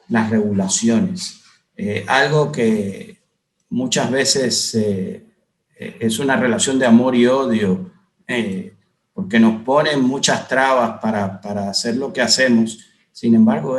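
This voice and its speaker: A man from Cuba moderating a webinar panel, talking in a measured way with many hesitant "eh" fillers. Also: medium pitch. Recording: echoey room.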